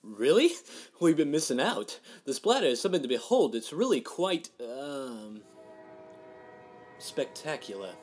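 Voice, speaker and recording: A man's voice: medium pitch, very animated, unhurried, darkish tone, breathy edge. A man playing a cocky, theatrical, unhinged cartoon cat rum-runner with a sardonic, wordy delivery. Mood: gleeful bravado. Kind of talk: animated comic dub acting.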